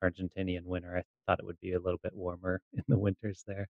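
A man's voice: low voice, completely flat delivery, quick pace, gravelly, dry recording, narrow, tinny audio. A man hosting a podcast, chatting casually as he interviews a guest. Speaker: podcast host.

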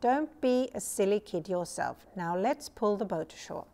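A woman voicing a calm, gently firm troll mother in a storybook, in a measured motherly way. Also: mid-range voice, lightly acted.

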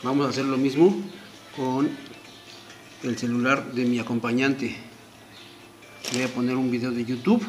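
Man, middle-aged, medium pitch, step-by-step tutorial narration, deliberate short phrases, recorded indoors at home. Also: level tone, over music. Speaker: product demonstrator, host. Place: small indoor room at home.

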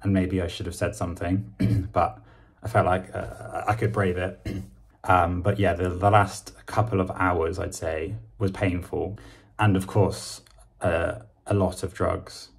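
A young man with a British accent talking casually to camera in a halting, understated way, with a husky, gravelly voice. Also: low-pitched, flat delivery. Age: young adult. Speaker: vlogger. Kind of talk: casual vlog talk to camera.

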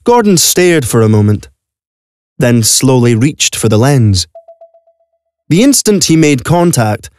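A man's voice: mid-range voice, very animated, measured pace, long pauses, a little mellow, no echo. Male audio-play narrator, close dry sound. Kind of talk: scripted dramatic story narration. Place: recording studio.

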